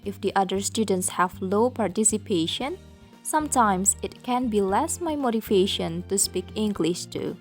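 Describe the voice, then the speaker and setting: A young woman with an Indonesian accent, a student presenting in careful, rehearsed learner's English. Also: mid-range voice. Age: young adult. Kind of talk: prepared presentation.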